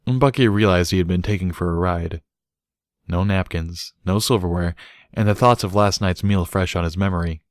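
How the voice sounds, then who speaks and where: A man's voice: deep voice, normal speed, no room sound, studio quality. A man reading a scripted story aloud as an audiobook narrator.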